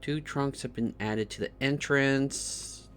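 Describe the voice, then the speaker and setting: Male game streamer, mid-pitched, casual think-aloud delivery with muttered, repeated words. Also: takes it slowly, faint music behind.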